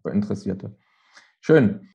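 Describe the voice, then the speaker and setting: Husky, mellow-voiced male host. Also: lowish voice, lively intonation, unhurried, highs cut off. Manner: rambling questions with restarts. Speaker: podcast host. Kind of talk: interviewing.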